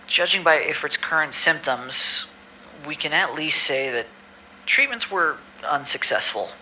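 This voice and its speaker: Male, exasperated medical staffer acted by a man for audio drama. Very forceful, bright tone, slightly high, formal report reading with grumbled asides, faint noise behind. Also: rough recording. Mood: formal, puzzled. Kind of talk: amateur audio drama acting.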